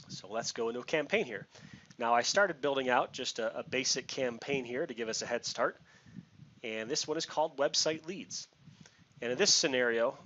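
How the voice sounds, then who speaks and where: Man, mid-pitched voice, informal software demonstrator, step-by-step explaining, slightly muffled lo-fi mic. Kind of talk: casual screen-share product walkthrough. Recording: muffled lo-fi mic with background hiss.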